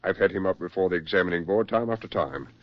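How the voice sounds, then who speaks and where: Low, swooping, brisk military doctor. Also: male voice, expressive. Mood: grave, weary concern. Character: brisk, persuasive male military psychiatrist. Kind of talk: 1940s radio acting. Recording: muffled 1940s radio recording.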